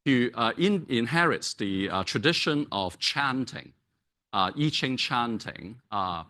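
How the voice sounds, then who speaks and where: A male academic whose first language is Cantonese lectures at an unhurried pace. His voice is bright, and he keeps restarting phrases and filling gaps with "uh" as he turns to the audience with "right?". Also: mid-range voice, expressive. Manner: hesitant, many fillers and restarts, checks in with "right?". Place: lecture hall.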